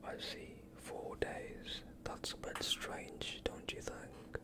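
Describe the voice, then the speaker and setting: A young man playing a polite vampire doctor in an ASMR roleplay, soothing and evasive with a sinister undertone, speaking in a hushed voice close to the mic. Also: lightly acted. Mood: attentive, soothing. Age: young adult. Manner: hushed, slow, courteous, evasive. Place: quiet indoor studio, dark backdrop. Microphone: close off-screen microphone.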